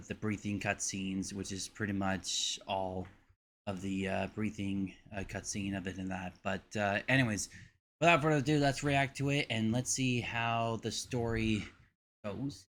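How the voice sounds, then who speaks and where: A man hosting a reaction video, talking casually in a halting way with short muttered comments. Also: lowish voice, steady tone, fairly bright tone, slight background noise.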